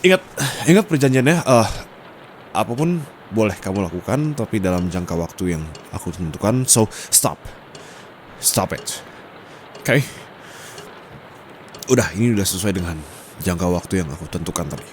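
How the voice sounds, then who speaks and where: A stern young male tutor played by a man with an Indonesian accent and a deep, low voice. He speaks firmly and formally in an intimate ASMR roleplay style, slipping into English phrases with a dominant edge. Faint noise sits behind the voice. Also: lively intonation, normal speed, long pauses. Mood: stern warning. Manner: firm, scolding, formal, slips into English phrases. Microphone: close condenser microphone.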